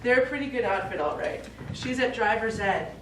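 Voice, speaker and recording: Actress as practical middle-aged daughter, coaxing, mildly exasperated, highish voice, stage play, distant echoey recording. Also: female voice, expressive, normal speed, fairly bright tone. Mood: concerned, coaxing. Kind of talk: live stage play acting. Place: small indoor theatre stage, recorded from afar. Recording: echoey theatre, distant mic, faint noise.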